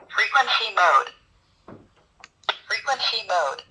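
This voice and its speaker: A woman's voice: on the high side, sing-song, takes it slowly, sharp tone. A synthetic woman's voice giving short announcements through a small walkie-talkie speaker. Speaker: radio voice prompt. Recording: faintly noisy small device speaker.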